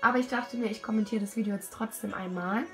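A hoarse young woman with a cold, talking casually and self-critically over a video of her riding. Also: fairly high pitch, fast talker, a bit bright, soft background music. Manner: self-critical, chatty, many fillers. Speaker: rider commenting her own show-jumping test video. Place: at home, voice-over.

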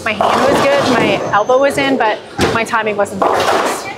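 A woman's voice: on the high side, moderate pace. A young woman and pro bowler explaining her practice to camera, easygoing and step by step, on a lapel mic in a large indoor bowling alley. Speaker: professional bowler coaching. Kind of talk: casual instructional talk to camera. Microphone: clip-on lapel mic. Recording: loud bowling alley noise behind. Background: bowling alley noise, clicks.

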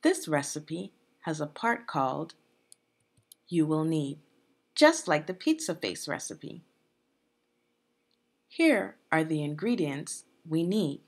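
A woman with a slightly low, gravelly voice leads a children's read-aloud. She phrases each part deliberately, like a teacher, and her pitch moves in lively swings. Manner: deliberate teacherly phrasing, asks the listener questions. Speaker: education consultant reading aloud.

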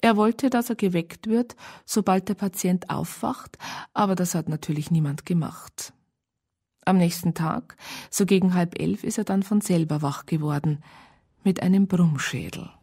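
A matter-of-fact police psychologist in a radio crime drama. Her voice is fairly low and husky, with a dry delivery. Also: normal speed, warm tone. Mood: dry narration. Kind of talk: first-person narration and dialogue in radio crime drama.

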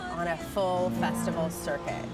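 Soft-spoken young woman, distant mic. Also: lowish voice, little pitch movement, moderate pace. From Canada and Portugal. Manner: thoughtful, earnest, with many fillers. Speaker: singer. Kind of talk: interview. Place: outdoors by a harbor railing. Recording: distant mic with music behind.

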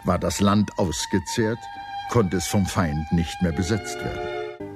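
A man reading scripted historical documentary narration in a measured, serious storytelling manner. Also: deep voice, music in the background.

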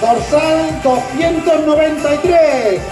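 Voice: high-pitched, takes it slowly. A finish-line announcer calls a live race over a PA, stretching names out emphatically and rallying applause. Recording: echoey PA at crowded event, music behind.

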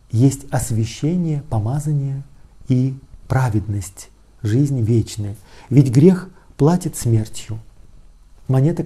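A man in his forties, a priest, explaining scripture in a measured, reflective way, phrase by phrase. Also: mid-range voice, dark tone, slightly rough. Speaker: Orthodox priest giving Bible commentary. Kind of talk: expository religious teaching, reading and explaining scripture. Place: small indoor study with bookshelves.

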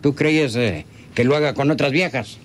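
Actor as a coaxing rustic bandit, noisy recording. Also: male voice, mid-range voice, normal speed, natural voice. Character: scheming rural male bandit henchman, persuasive and greedy. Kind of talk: radio serial acting. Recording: noisy old radio serial recording.

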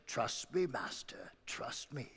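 Dude playing a calm gentle ox, slow and soft spoken, echoey hall. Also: male voice, fairly high pitch, full character voice. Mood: soothing. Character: patient gentle male ox, loyal and wise. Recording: long hall reverb.

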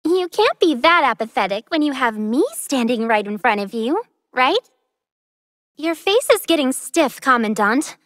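Very high-voiced playful young artist girl. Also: very animated, unhurried, fairly bright tone, no room sound, studio quality, full character voice. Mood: coy. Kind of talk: video game character voice lines.

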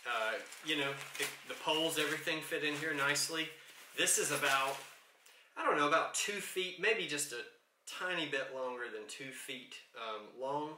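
A man's voice: a little high, normal speed, bright, forceful tone, a little hiss or hum. An American man around forty giving a casual gear review in vlog style. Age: 30s to 40s.

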